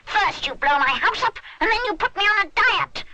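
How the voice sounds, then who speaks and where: A woman voicing a small, spiky, furious creature in a shrill squawk, yelling wildly, in a children's storybook. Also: animated, normal speed, background noise. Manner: yelling, screaming, hollering.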